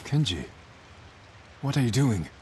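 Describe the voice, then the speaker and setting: An actor playing a resolute, rebellious samurai lord turned outlaw in a cinematic game, speaking in a grave, restrained, terse way. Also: male voice, mid-range voice, sing-song, measured pace, slight background noise. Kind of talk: dramatic cinematic game acting. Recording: loud music over the voice.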